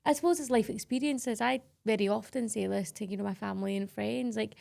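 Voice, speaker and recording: A woman's voice: mid-range voice, brisk, vocal fry, a little mellow. A Scottish woman hosting a podcast, talking candidly and emphatically in casual interview chat. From Scotland. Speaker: podcast host.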